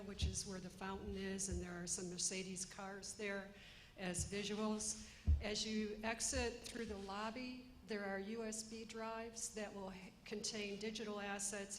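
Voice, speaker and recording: A woman coordinating a press event gives practical, instructive announcements to the media in a businesslike way. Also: medium pitch. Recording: echoey room with background noise.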